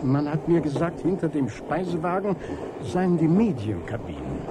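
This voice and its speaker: A genial, courteous male priest in a vintage radio drama. He speaks with dry humour and animated intonation. Clear background noise runs behind his voice. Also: on the high side, moderate pace. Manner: courteous, dryly humorous. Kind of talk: 1980s German radio play acting. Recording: noisy vintage radio play, train ambience.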